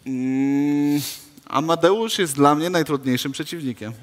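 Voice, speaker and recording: A young man with a Polish accent on a headset mic, answering questions in measured, explanatory sentences. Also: on the high side, in a large hall, faint music behind. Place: indoor studio stage.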